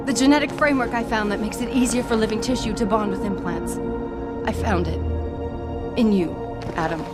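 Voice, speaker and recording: A woman's voice: medium pitch, fairly quick, over loud music, lightly acted. An actress playing an earnest woman scientist in a video game, pleading urgently as she explains herself. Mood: pleading, remorseful. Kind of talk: dramatic video game voice acting.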